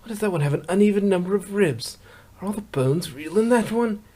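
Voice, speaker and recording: Guy voicing a pun-loving pony narrator, chatty and eager, voice a bit high. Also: lively intonation, brisk, mellow. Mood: cheerful. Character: good-natured male pony who loves puns. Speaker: blog narrator. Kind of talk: scripted narration for a fan comic.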